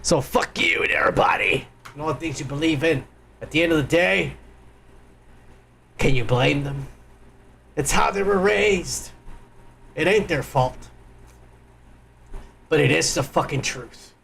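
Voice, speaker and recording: A man's voice: a little high, measured pace, gravelly, pauses often, a bit bright, a little hiss or hum. A man hosting a live stream, ranting crudely and angrily in profane political commentary.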